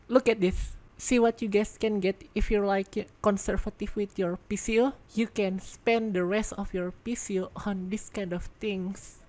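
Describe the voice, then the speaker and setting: A woman giving casual gameplay commentary as a reviewer, excitable and thinking out loud, congested and losing her voice. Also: mid-range voice. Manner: excitable, exclaims in surprise, thinks aloud. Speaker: game video reviewer.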